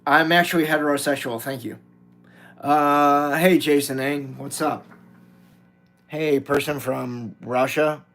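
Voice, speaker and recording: A man's voice: a little high, fairly even intonation, slow. A middle-aged American man chatting casually as a live-stream host, blunt and informal in the way he talks, with guitar behind him. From United States. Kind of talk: casual live-stream chat reading viewer comments. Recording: loud guitar music behind the voice. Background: guitar playing.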